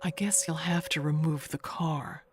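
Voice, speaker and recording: A woman playing a chatty, evasive older neighbor who rambles haltingly through an audio mystery monologue, with noise behind her. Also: low-pitched, fairly even intonation. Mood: confiding, wistful.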